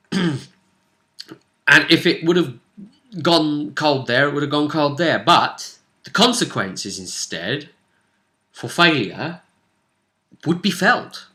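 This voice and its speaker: A man's voice: on the high side, animated, slow, long pauses, sharp tone. A man speaking as game master, casually recapping a tabletop game session and often thinking aloud as he goes.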